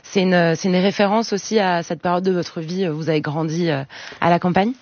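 A woman's voice: on the low side, fairly even intonation, fairly quick, no room sound. A woman hosts a radio talk show and puts her questions hesitantly, with many fillers. The sound is dry and somewhat dull. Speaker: radio host.

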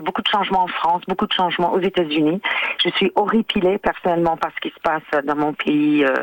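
A woman's voice: sits low, no echo, rough recording. Earnest woman in her sixties. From United States, New York. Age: 60s. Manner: hesitant, repeats words, earnest. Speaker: caller. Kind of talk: phone-in conversation.

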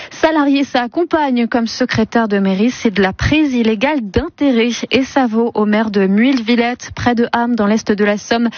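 A woman with a fairly high voice reads the morning news bulletin in a brisk, even rhythm. The sound is close and dry, with a muffled, slightly dull radio tone. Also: no room sound. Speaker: radio newsreader.

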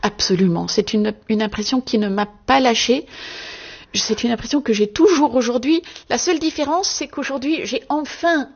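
A woman from Belgium answering interview questions as an author, articulate and measured with a wry, self-ironic touch. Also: fairly high pitch, slightly rough, dull audio.

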